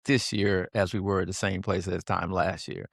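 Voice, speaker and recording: Gravelly low-voiced male host. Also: little pitch movement, normal speed, no room sound, studio quality. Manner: upbeat, encouraging, laughs often. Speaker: podcast host. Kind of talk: interview hosting.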